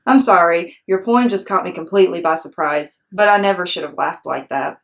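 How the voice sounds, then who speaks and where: A woman playing a patient, stern but kindly male school principal in a children's storybook, measured and gently admonishing. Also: fairly low pitch, natural voice. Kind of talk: children's storybook read-aloud.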